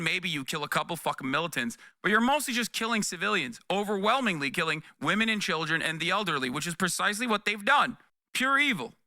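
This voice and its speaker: Guy streamer ranting about the news, real sharp clear voice, sweary and blunt, reacting off the cuff. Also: a little high, no room sound, studio quality. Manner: emphatic, sweary, blunt, repeats points for emphasis. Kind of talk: impassioned off-the-cuff livestream commentary, reading and reacting.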